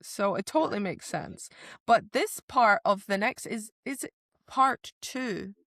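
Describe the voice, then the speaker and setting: A woman's voice: medium pitch, expressive, takes it slowly, a bit bright, no room sound, clean studio sound. A woman co-hosting a podcast, recapping and explaining a book in a casual, conversational way. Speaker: podcast co-host.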